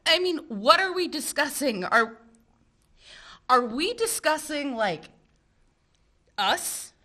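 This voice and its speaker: An actress playing a feisty woman who owns a failing boat marina and is quick to yell. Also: high voice, very animated, heavily acted. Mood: bantering. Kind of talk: stage-play acting.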